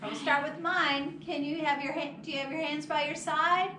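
A woman's voice: sits high, steady tone, normal speed, bright, forceful tone. A woman presenting storytime, speaking expressively to young children as she leads rhymes and introduces books. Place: small children's classroom indoors. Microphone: distant camera microphone. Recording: echoey, noisy room, far from mic.

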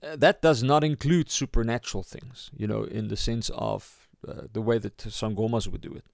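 A man with a South African accent giving an informal sermon as a pastor, halting as he searches for words. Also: medium pitch, fairly even intonation, vocal fry, darkish tone, no echo, clean studio sound. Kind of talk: informal sermon, Bible teaching.

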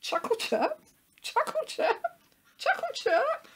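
A woman's voice: extremely high pitch, very animated, slow, a bit bright, voice pushed up, full character voice. A woman voicing a small female bird in a children's story, teasing, chirpy and full of giggles. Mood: giggly chirping.

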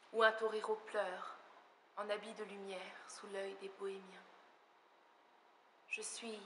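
A woman's voice: medium pitch, fairly bright tone, slight background noise. A French woman in her thirties performing dramatic spoken-word poetry, her delivery swelling and sinking, in an empty opera house auditorium. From France. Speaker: slam poet. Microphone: far camera. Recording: roomy hall echo.

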